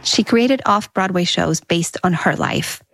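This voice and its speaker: A woman radio host narrating a scripted news story in a measured, clear way. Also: on the low side, a bit bright, soft background music.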